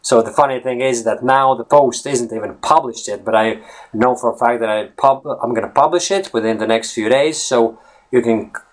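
A man's voice: medium pitch, moderate pace. A man whose first language is Latvian, coaching English in a casual talk-to-camera vlog. He rambles off topic and keeps checking in with "right?" and "okay?". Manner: rambling, veers off topic, asks "right?" often.

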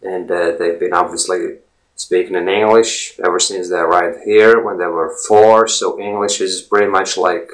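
A man's voice: low-pitched, steady tone, normal speed, poor audio. A Latvian man in his forties, a native Latvian speaker talking in English, giving a rambling casual monologue with many fillers and repeated words. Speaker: language blogger.

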